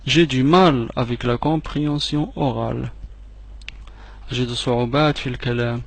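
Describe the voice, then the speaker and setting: A man whose first language is Arabic, teaching phrases in a slow repeat-after-me drill and then translating each one quickly. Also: medium pitch, steady tone, creaky. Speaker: French teacher.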